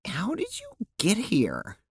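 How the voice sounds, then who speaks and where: A woman's voice: medium pitch, wildly swooping pitch, takes it slowly, a little mellow, slightly rough, no echo, clean studio sound, exaggerated voice. A woman playing a polite, awkward young witch girl in an audio drama, with a hesitant manner. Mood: curious.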